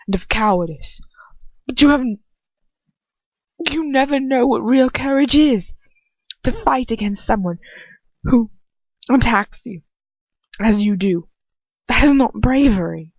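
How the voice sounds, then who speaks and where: A smug, cold aristocratic wizard lectures in a condescending tone, as a woman reads his lines. His words come slow and pointed, with a dry, muffled sound. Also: a little high, lively intonation, no echo, voice pushed up. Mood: lecturing.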